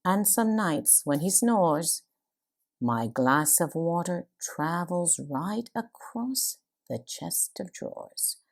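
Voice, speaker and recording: An older woman playing an arch long-married wife, reciting a comic verse monologue in a theatrical, measured way. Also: on the low side, animated, gravelly, exaggerated voice. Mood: arch, playful. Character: long-married wife cheerfully confiding about snoring.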